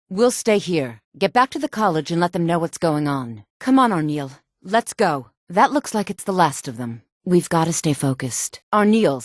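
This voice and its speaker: A composed female mage from a fantasy game, with a low, sultry voice. Her phrasing is formal and deliberate, yet the pitch moves widely through each line. The recording is dry and close, with no room sound. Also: a little mellow, dry recording. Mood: urgent, serious. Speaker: video game voice actor. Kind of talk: scripted fantasy game dialogue.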